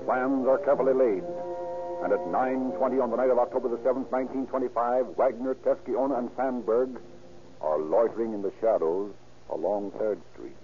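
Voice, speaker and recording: An American man narrates a vintage radio crime story. His delivery is grave and declamatory, with deliberate emphasis. The recording is muffled and old, with music running behind him. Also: mid-range voice, fairly even intonation.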